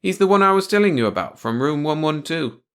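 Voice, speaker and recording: A man's voice: a little high, animated, a little fast, fairly bright tone, smooth, pitched above the performer's own voice. A man voicing a plainspoken old male janitor who is matter-of-fact and unfazed in a story.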